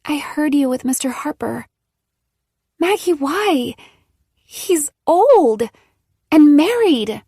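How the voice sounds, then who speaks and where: Woman voicing a worked-up teen girl, really high pitched and urgent, gravelly edge. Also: moderate pace, darkish tone, no echo, studio quality. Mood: confronting. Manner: urgent, rising voice. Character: earnest teenage girl, shocked and upset.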